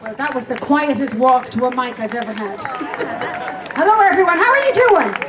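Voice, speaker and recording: High-voiced Irish woman at an open mic. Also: lively intonation, normal speed, background noise. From Dublin, Ireland. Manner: hypes up the crowd. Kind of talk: chatty stage banter. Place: outdoor amphitheater stage. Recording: distant echoey live recording. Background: loud crowd and guitar music.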